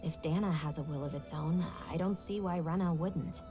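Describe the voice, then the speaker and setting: A woman's voice: low-pitched, moderate pace, music in the background, close to an everyday voice. A woman playing an analytical, inquisitive young adventurer in a video game, reasoning things out articulately. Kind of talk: video game cutscene acting.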